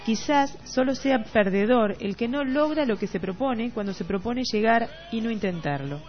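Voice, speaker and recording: A woman's voice: a little high, animated, normal speed. A woman with a Rioplatense Argentine accent co-hosting a casual literary radio chat, at times reading out comments. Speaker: radio co-host. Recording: slightly dull radio audio with music behind. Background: music.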